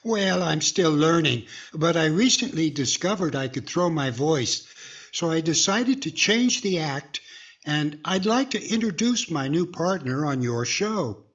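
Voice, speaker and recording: Husky, eager radio ventriloquist played by a man. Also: a little high, animated, a little slow, highs cut off, exaggerated voice. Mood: hopeful. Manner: earnest, eager to please. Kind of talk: 1940s radio comedy acting.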